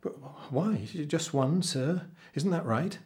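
Adult man as timid cabin boy, storybook, stammering, anxious, raised pitch. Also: sing-song, normal speed, a little mellow. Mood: trembling.

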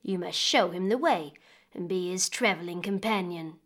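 A woman voicing a frail, quiet and knowing old beggar woman, lower and softer than her narration. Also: normal speed, close to an everyday voice.

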